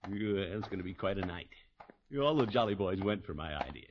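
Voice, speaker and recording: Pompous genial uncle from an old radio sitcom, hems and haws, pitch all over, dull hissy recording. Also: male voice, expressive, brisk. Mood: smug, scheming. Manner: blustery, hemming and hawing, self-satisfied. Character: pompous, genial middle-aged bachelor uncle, self-important civic man. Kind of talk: 1950s radio sitcom acting. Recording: muffled 1950s radio recording, hiss.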